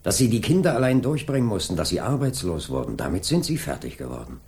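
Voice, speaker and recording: An actor playing a calm, methodical police inspector in a radio crime drama, questioning in a measured, insistent way. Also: male voice, on the low side, gravelly. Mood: measured, probing. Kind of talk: 1980s German radio crime drama acting.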